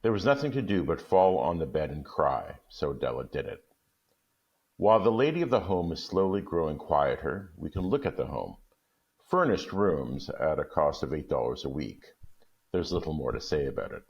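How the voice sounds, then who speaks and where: A man reading a classic short story aloud in a steady, measured way. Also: very deep voice, highs cut off. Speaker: guest reader.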